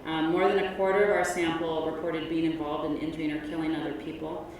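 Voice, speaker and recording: A middle-aged woman delivers an academic keynote in a low, measured voice with plenty of ums. She projects loudly, but she sits far back from the microphone. The big hall rings with long echo and a steady layer of noise. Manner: loud, measured presenter with frequent ums. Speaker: keynote speaker, researcher. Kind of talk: academic conference lecture. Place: large conference hall. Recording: echoey hall from far off, noisy.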